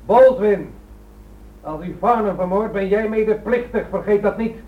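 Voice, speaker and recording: An urgent police negotiator, acted by a man warns forcefully in a high voice. The old radio drama recording is muffled and places him some way off. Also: normal speed, far from the mic, lightly acted. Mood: urgent warning. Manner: forceful, warning. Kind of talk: Dutch radio drama acting.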